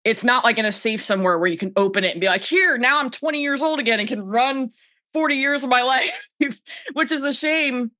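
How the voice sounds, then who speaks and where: Bright, dry-sounding voice in candid podcast chat. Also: high-pitched, brisk, dry recording. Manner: candid, animated, voices own thoughts as quoted self-talk. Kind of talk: casual podcast conversation.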